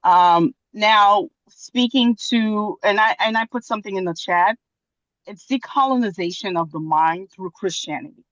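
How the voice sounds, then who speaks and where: A woman from Haiti giving a long comment on a video call, pausing often with fillers and restarts. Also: mid-range voice, fairly bright tone, no room sound, lo-fi sound. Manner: hesitant, many fillers and restarts. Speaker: audience member commenting.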